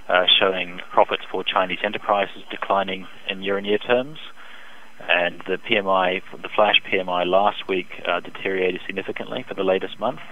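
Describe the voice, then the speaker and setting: A man speaking as an expert economist in a phone interview, giving measured, hesitant, technical market analysis. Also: sits low. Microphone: telephone. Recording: dull band-limited phone line.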